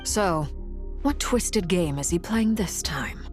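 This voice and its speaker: A woman's voice: fairly low pitch, expressive, faint music behind. A woman playing a composed, formal, shrewd strategist in a fantasy video game. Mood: cool, shrewd. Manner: measured, formal.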